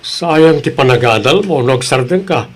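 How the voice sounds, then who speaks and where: A man's voice: medium pitch, animated, unhurried. A man acting a firm, caring middle-aged father in an audio drama, speaking in an advising way.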